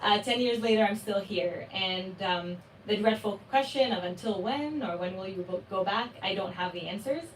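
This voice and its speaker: A woman's voice: mid-range voice, normal speed, hardly any pauses. Enthusiastic young woman in a roomy space. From Palestine. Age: 20s. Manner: enthusiastic, personal. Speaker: moderator. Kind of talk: conversational interview. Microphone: video call. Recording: roomy, distant mic with faint noise.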